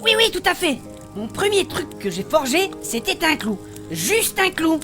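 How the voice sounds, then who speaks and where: A woman playing a spirited female blacksmith adventurer, recounting her past in animated, colloquial in a fantasy audio drama. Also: very high-pitched, measured pace, over music, heavily acted. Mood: fond, proud. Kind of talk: French fantasy audio fiction acting.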